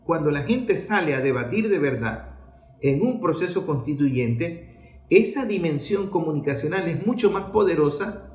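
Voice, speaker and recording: A man's voice: on the high side, a little mellow. A man with a Venezuelan accent giving political commentary as a speech, posing rhetorical questions and answering them. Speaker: journalist giving a political talk. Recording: echoey room.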